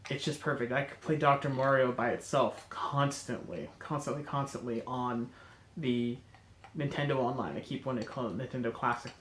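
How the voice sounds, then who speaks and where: A man's voice: medium pitch, fairly even intonation, moderate pace, fairly bright tone, roomy sound, a little hiss or hum. A man with a cold and a congested, nasal voice, hosting a casual chat and rambling with enthusiasm while he corrects himself. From North America. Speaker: host.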